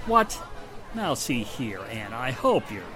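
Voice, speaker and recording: Man playing a brisk 1940s radio police inspector. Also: medium pitch, big pitch swings, a crowd in the background. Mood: insistent. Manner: matter-of-fact, assertive. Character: brisk, matter-of-fact male police inspector in 1940s mystery. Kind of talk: 1940s radio drama acting. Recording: music and street sounds behind the voice.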